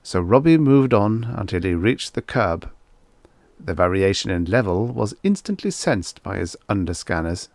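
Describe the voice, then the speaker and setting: A man narrates a scripted story in a measured, expressive way. His voice sits on the low side with lively movement in pitch, and the recording is dry and close. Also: gravelly, dry recording. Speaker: audiobook narrator.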